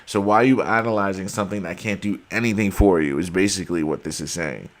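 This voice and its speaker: Man, very deep voice, emphatic, argumentative, hoarse, casual video talk. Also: quick pace. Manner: emphatic, argumentative, addresses viewers as guys. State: losing his voice. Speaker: video host.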